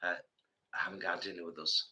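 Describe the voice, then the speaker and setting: A man's voice: low-pitched, fairly quick. A man in his fifties with a cold, chatting casually as co-host of a live stream and telling rambling stories. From England. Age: 50s. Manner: rambling storyteller, animated. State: has a cold, a bit bunged up.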